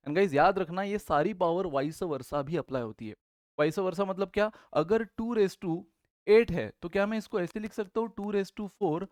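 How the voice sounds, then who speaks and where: A man's voice: high-pitched, a little mellow, no echo, clean studio sound. A man with an Indian accent teaching a math lesson while he has a sore throat. He calls his students guys and keeps asking questions and then answering them himself. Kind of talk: online exam-prep math lesson.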